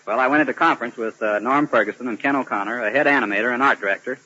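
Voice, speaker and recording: A man's voice: mid-range voice, very fast, bright, forceful tone. A man giving formal, earnest explanations as a guest in a prepared 1940s radio interview. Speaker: animation director guest. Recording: dull vintage 1940s radio broadcast.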